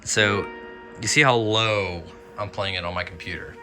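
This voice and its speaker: A man's voice: on the low side, normal speed, music in the background. A man giving casual, informal commentary on a repair as a radio restorer, thinking aloud as he works.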